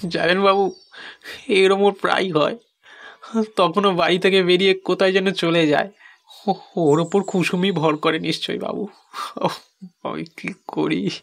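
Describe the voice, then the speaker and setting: A man's voice: high voice, little pitch movement, unhurried, gravelly, pauses often. A man acting a bitter, pleading villager who speaks emphatically and plaintively in an audio horror story. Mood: distressed. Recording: music under the voice.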